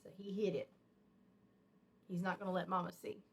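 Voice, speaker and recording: A woman reading a children's storybook aloud like a teacher, expressive and emphatic, with simple explanatory asides. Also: lowish voice, moderate pace, creaky.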